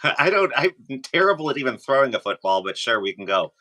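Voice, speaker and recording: A man's voice: mid-range voice, big pitch swings, fairly quick, bright, forceful tone. A man chatting casually as a guest, explaining his own experience with frequent hesitations. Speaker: podcast guest. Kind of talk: casual conversational testimonial.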